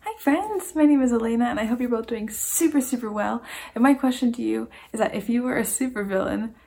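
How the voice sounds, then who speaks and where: A woman's voice: fairly high pitch, a little fast, vocal fry, dark tone, husky. A woman cheerfully asking a question in a self-recorded video message. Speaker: viewer sending in a question.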